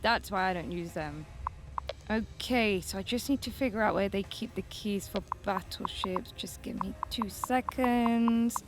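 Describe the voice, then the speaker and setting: An actress playing a brisk, impatient, self-assured woman leading a space heist in a sci-fi audio comedy, dry and bossy. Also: mid-range voice, close to an everyday voice. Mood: brisk, focused.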